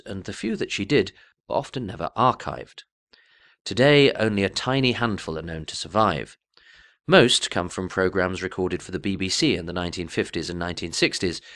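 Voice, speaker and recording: A man's voice: low-pitched, a bit bright. A man reading scripted documentary narration.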